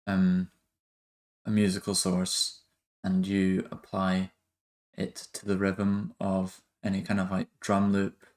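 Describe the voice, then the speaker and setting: Male tutorial host, low register, very even delivery, halting and informal, working through steps aloud. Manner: hesitant, halting, thinking aloud. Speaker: music production tutorial host. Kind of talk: casual software tutorial narration.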